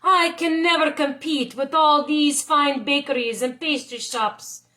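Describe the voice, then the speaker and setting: A woman voicing a humble Italian baker and father in a storybook, with emphatic, drawn-out delivery. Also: high-pitched, steady tone, sharp tone. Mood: dejected.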